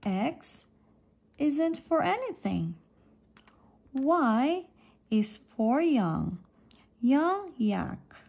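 A woman reading an alphabet picture book aloud for children, deliberately setting apart each letter and phrase. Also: high-pitched, sing-song, vocal fry, a little mellow.

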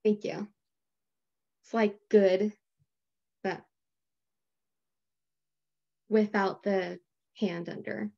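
A woman's voice: mid-range voice, little pitch movement, extremely slow, creaky, long pauses, mellow, lo-fi sound. A woman answering questions about sign language on a video call.

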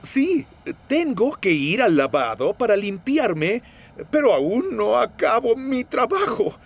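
A vain red cartoon train, very high voice, bouncy and slow. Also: male voice, big pitch swings, gravelly, slightly rough, heavily acted. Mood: flustered. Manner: proud, fussy about his paint. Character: vain proud red male steam engine. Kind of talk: Spanish cartoon dub.

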